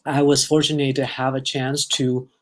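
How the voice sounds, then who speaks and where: A man's voice: mid-range voice, flat delivery, normal speed. Chatty Thai man hosting a live stream. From Thailand. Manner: chatty, reads out viewer comments, sprinkles in foreign phrases. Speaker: livestream host. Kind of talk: casual live-stream chat with viewers.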